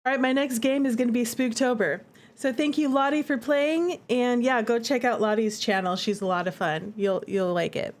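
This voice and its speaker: A woman with a fairly high voice talks casually to her livestream audience. She is chatty and expressive, commenting as a game developer and repeating points for emphasis. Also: moderate pace.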